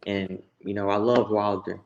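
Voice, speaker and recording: A man's voice: lowish voice, little pitch movement, normal speed, creaky, highs cut off. An American man from Texas giving blunt, profane opinions in a casual, slangy sports chat. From Texas, United States.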